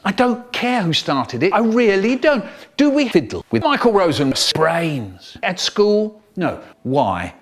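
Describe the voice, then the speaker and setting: A man's voice: on the high side, huge pitch swings, slow pace, few pauses, heavily acted. A man voicing an exasperated, strict female schoolteacher scolding her pupils in a comic story. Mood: stern scolding.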